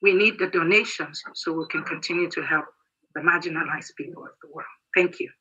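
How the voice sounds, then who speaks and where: A man in his sixties from Nigeria giving an earnest, emphatic prepared speech as a guest, halting now and then. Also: fairly high pitch, steady tone, sharp tone, slightly rough, dull audio. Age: 60s. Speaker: guest speaker.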